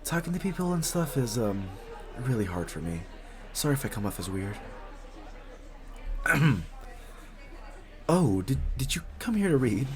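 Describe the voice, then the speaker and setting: A man playing a very shy, friendly young writer in an intimate coffee shop roleplay, speaking hesitantly with nervous stammers and a husky voice. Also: mid-range voice, sing-song, a little slow, pauses often. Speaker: audio roleplay voice actor. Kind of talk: intimate one-sided roleplay acting, casual chat.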